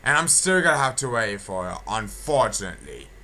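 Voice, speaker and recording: A young man giving a casual audio update, halting, repetitive and complaining. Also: fairly low pitch, very animated, bright, forceful tone. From England. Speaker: video creator giving channel updates. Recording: clear background noise.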